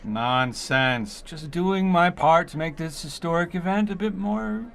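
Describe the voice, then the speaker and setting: Drunken society playboy: unhurried, boisterous, teasing, mock-posh, for audio drama. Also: male voice, a little high, gravelly, fairly bright tone. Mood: tipsy, flippant. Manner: theatrical, languid, mock-posh drawl. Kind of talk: radio drama acting.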